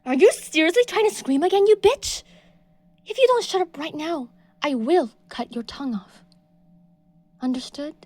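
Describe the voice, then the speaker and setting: A delusional, obsessive stalker, voiced by a woman in an audio roleplay, with a high voice that swings widely between hushed, breathy menace and shrill fury. She sounds possessive and cruel. The recording is close and dry. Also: lively intonation, normal speed, long pauses, no echo. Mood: furious. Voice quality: breathy whispered delivery. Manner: shifts between soft menacing whispers and shrill outbursts.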